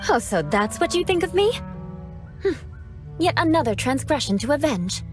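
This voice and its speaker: A woman playing a proud young aristocratic knight who talks of vengeance, cool and deadpan, in a game cutscene. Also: high-pitched, moderate pace. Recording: game cutscene with loud music.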